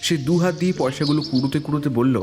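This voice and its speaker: A man's voice: on the high side, fast talker. A man narrating a scripted suspense story in a dramatic storytelling style. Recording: prominent background music.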